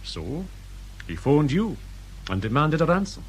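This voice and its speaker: British police sergeant with lively intonation. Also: male voice, fairly high pitch, measured pace, slight background noise. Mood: matter-of-fact. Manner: deferential, matter-of-fact. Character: dutiful, polite male police sergeant. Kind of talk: radio crime drama acting.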